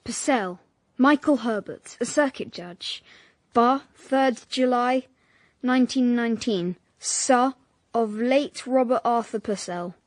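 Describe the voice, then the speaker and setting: A man's voice: very high voice, takes it slowly. An actor with an English accent playing a dutiful eight-year-old boy in a radio drama. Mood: reciting. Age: child figure. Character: young English schoolboy, earnest and dutiful.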